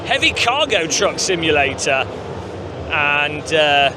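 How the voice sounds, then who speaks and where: Male host, casual upbeat walkthrough patter, fairly high voice, noisy convention hall. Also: sing-song, slow pace. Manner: chatty, upbeat, lots of fillers. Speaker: gaming channel host. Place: busy gaming convention floor.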